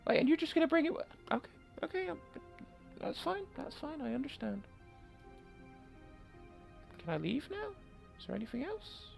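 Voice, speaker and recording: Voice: high voice, sing-song, normal speed, vocal fry, long pauses, soft background music. A self-deprecating, apologetic game player giving informal let's play commentary and reading story text aloud. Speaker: gaming video host.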